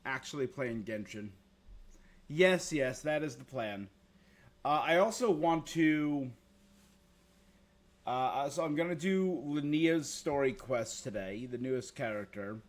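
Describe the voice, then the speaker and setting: A man's voice: medium pitch, measured pace. A man hosting a gaming livestream, chatting casually and informally with his viewers in a laid-back way. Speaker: game streamer, host.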